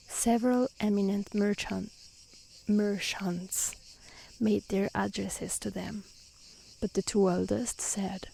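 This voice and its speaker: A woman with an Italian accent speaking softly and calmly in an ASMR style, choosing her words carefully as a non-native speaker, outdoors. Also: mid-range voice, creaky, slight background noise, poor audio. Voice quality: soft, gentle voice. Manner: calm, careful delivery in non-native speech. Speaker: streamer and storyteller. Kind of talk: soft-spoken ASMR reading and chat. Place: outdoors in nature near mountains.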